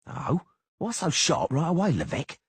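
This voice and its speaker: A man's voice: fairly high pitch, big pitch swings, moderate pace, vocal fry, husky, dry recording, studio quality, pitched above the performer's own voice, full character voice. A man voicing a crude, sneering beady-eyed mercenary in an audiobook. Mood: sneering mocking. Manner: mocking, crude.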